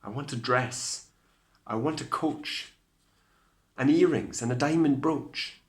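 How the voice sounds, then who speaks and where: A man voicing a demanding, sulky young Cinderella in a loud, petulant storybook voice. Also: medium pitch, takes it slowly, pitched above the performer's own voice, lightly acted.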